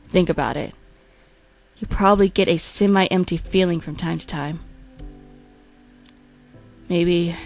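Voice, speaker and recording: A woman voicing a frank, world-weary male character in an amateur comic dub, blunt and halting as she explains. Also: lowish voice, darkish tone.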